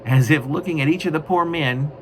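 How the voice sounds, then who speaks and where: A man reading his own writing as a poet, with deliberate, emphatic phrasing. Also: on the high side, slight background noise, poor audio. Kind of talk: dramatic poetry reading with casual spoken introduction.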